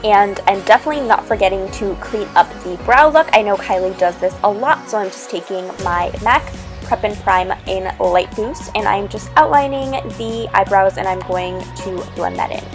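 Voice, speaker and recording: A woman's voice: mid-range voice, moderate pace, few pauses. Chatty young woman over music. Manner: relaxed, chatty step-by-step explaining. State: slightly sick, sniffling. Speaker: beauty vlogger. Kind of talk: makeup tutorial voice-over. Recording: voice-over with loud background music.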